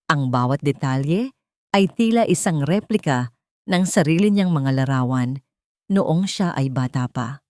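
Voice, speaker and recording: Female audiobook narrator for melodramatic fiction, slightly low pitch, dry close recording. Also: a little mellow, no room sound. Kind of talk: dramatic scripted story narration.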